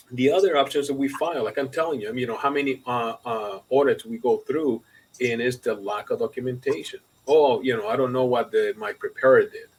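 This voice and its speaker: A man co-hosting a casual business podcast, talking in a halting, repetitive way and checking the listener follows. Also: mid-range voice, breathy edge, poor audio. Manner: halting, repetitive, often asks "you know what I mean". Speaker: podcast co-host and accountant. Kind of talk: casual conversational business talk.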